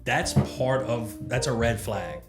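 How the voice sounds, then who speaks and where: A man's voice: medium pitch, unhurried, echoey room, over music. A man, a podcast guest talking earnestly and encouragingly in casual chat.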